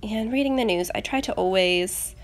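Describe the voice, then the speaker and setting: A young woman, slightly raspy as she gets over a cold, chattily narrating a craft video in a casual, self-deprecating way. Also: mid-range voice, moderate pace. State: getting over a rough cold. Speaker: planner channel host.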